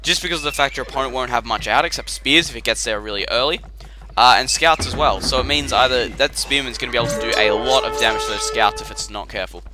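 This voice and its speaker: A young man giving a chatty, rambling commentary on a game replay, his voice croaky and tired after a late night. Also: medium pitch, fast talker, music in the background. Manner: chatty and rambling, with filler words.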